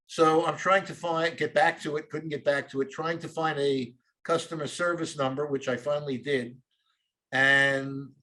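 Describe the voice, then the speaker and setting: A man's voice: on the high side, a bit bright, low-quality recording. A middle-aged man with a New York accent, co-hosting and telling a long rambling story full of fillers. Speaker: co-host. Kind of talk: casual chat.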